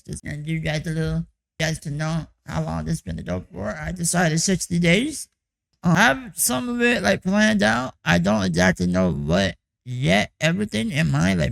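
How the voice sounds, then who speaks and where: A young man giving a casual vlog check-in on his music production boot camp, with a hoarse, raspy, croaky voice and a loose, rambling way of talking full of "like" and "you know.". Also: high voice, low-quality recording.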